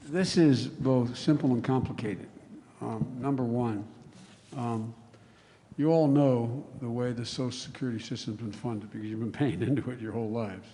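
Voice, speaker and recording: A man fields questions as a candidate, talking off the cuff and circling back to restart his sentences. His voice is mellow and sits in the middle range. The sound carries a long reverb from a large hall. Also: mid-range voice, steady tone, normal speed, slightly rough. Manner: rambling, restarts mid-sentence. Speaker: candidate at a town-hall roundtable. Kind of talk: off-the-cuff campaign answers.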